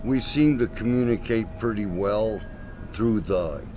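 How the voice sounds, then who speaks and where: A man giving casual interview answers, halting and often pausing in the middle of a phrase. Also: warm tone. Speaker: interview guest. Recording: noisy location sound with vehicle rumble.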